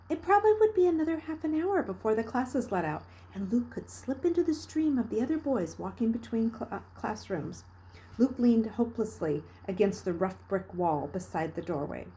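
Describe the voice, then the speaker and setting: Woman reading a story aloud, mellow voice. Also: a little high, expressive, normal speed, low-quality recording. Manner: dramatic, with hushed passages and emphatic bursts. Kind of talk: expressive narration of a children's novel read aloud.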